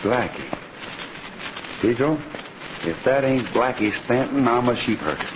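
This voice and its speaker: Western serial lead, played by a man: mid-pitched, steady, plain-spoken cowboy, muffled noisy sound. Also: close to an everyday voice. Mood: determined. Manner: terse, unhurried, folksy. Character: laconic, steady Western cowboy rancher hero. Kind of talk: old-time radio Western acting. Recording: noisy, muffled old radio recording.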